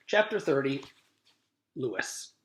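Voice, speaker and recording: A man, a teacher, reading a chapter book aloud to his class in an expressive read-aloud manner. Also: mid-range voice, slow, a little hiss or hum. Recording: echoey room.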